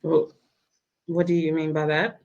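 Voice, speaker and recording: A woman's voice: deep voice, level tone, measured pace, a little mellow, highs cut off. A woman playing a caring, reassuring nurse on evening rounds in a dramatic table read. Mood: gently supportive.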